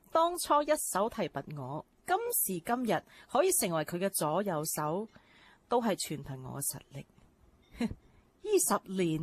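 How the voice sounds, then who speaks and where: Actress as snooty ambitious office woman, cantonese radio drama, very animated pitch, scornful. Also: husky, heavily acted. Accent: Hong Kong Cantonese. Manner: haughty, scornful. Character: arrogant, ambitious female right-hand of the boss.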